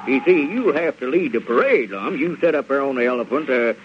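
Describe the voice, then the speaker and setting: An actor playing a coaxing, smooth-talking rural circus manager with a Southern US accent, in old radio comedy style. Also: male voice, mid-range voice, lively intonation, lightly acted. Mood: reassuring, flattering. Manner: folksy, persuasive, halting with "well, now". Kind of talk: 1930s-40s radio comedy acting. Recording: muffled, noisy vintage radio recording.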